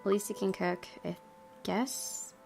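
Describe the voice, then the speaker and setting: A woman's voice: mid-range voice, steady tone, a little slow, vocal fry, a little mellow. A woman hosting a gaming video, switching between casual commentary and reading the game text aloud. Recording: game music behind the voice.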